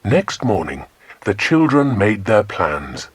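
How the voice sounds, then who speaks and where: A man serves as the narrator of a children's adventure story. His voice is low and noticeably husky, captured very dry and close. He reads scripted prose in a storytelling style. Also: sing-song, takes it slowly, dry recording.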